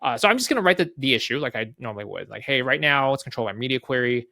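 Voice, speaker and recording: A man with a mid-pitched voice gives an informal software walkthrough at a brisk clip. He explains conversationally, regularly checking that the listener follows and acting out short bits of dialogue. The sound is dry, with very little room. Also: expressive, gravelly, no echo. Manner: conversational, often checks in with tag questions and acts out short exchanges. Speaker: guest product leader giving a software demo. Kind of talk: casual off-the-cuff product walkthrough.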